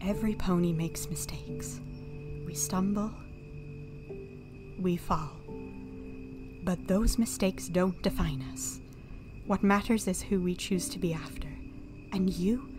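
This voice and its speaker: A woman playing a solemn, devoted pony princess in a radio drama, with a formal, measured, earnest delivery. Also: fairly low pitch, over music. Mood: earnest, consoling. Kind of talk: dramatic radio play acting.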